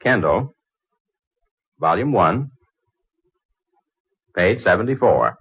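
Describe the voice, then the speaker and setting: A man's voice: on the low side, big pitch swings, slow pace, breathy edge, full character voice. A man acting in an old-time radio drama as an eager, awkward inventor, hesitant and stammering but earnest and persistent. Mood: earnest, friendly. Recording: muffled, tinny old-time radio recording.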